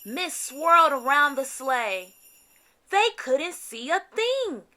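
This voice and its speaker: A woman narrating a children's storybook in an expressive read-aloud style with deliberate phrasing. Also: sits high.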